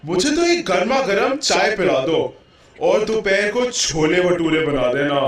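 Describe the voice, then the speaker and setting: Man playing a high-pitched bossy lazy husband, echoey. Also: very animated, measured pace. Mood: smug, lazy. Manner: theatrical, bossy. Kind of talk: puppet show dialogue. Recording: very echoey hall, distant mic.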